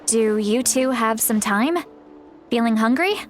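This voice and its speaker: A wry ancient sorceress in a young voice. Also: female voice, fairly high pitch, lively intonation, slight background noise. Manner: unhurried, teasing, self-mocking. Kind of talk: video game voice acting. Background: game music.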